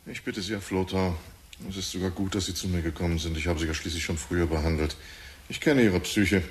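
An actor playing a condescending, probing professor of psychiatry in a radio drama, pompous and insistent. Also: male voice, sits low, steady tone, brisk, a little hiss or hum, close to an everyday voice. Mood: patronising. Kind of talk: German radio drama acting.

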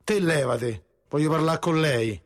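Aggressive guy, curt demands, slow and highish, radio drama. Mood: angry. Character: aggressive man demanding to get past.

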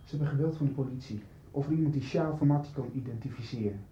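A man's voice: medium pitch, fast talker, dark tone, highs cut off, a little hiss or hum, close to an everyday voice. An actor playing an earnest, anxious young man in a radio soap, plain-spoken and serious. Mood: concerned, apologetic. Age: young adult. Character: earnest, anxious young man worried about missing friend. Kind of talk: 1990s radio soap acting.

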